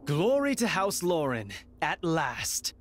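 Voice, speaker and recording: An actor playing an arrogant, mocking noble heir in a video game, with theatrical, smug sarcasm. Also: male voice, a little high, wildly swooping pitch, slow pace, slight background noise, full character voice. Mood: gloating.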